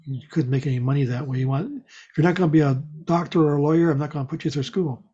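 Man, dark husky voice, wry unhurried storytelling, casual chat, dull lo-fi audio. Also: a little high. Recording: dull, muffled lo-fi sound.

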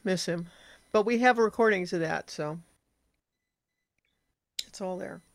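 An older woman in casual conversation, reminiscing with a halting delivery. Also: lowish voice, no room sound. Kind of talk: casual chat.

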